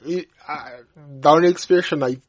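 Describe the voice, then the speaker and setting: A man from Uganda with a Ugandan accent, giving halting, reflective answers as a guest in an interview. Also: fairly high pitch. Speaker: studio guest.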